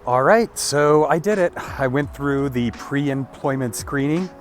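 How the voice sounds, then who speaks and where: A man, congested and clearing his throat, chatting casually in a daily vlog and apologizing often. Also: medium pitch, a little slow, faint music behind. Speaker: vlogger.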